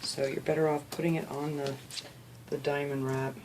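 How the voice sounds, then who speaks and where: A low-pitched woman talks through a craft project in an easygoing, chatty way, sounding sniffly. She keeps slipping in "you know" while she explains each step. The home recording has clear background noise and clicks. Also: little pitch movement, normal speed. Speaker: craft video host. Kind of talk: casual step-by-step craft tutorial.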